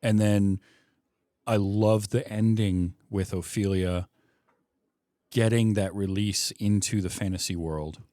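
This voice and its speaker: Low-voiced man, dry podcast sound. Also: fairly even intonation, slow pace, dark tone, no room sound. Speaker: podcast host. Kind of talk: casual film discussion.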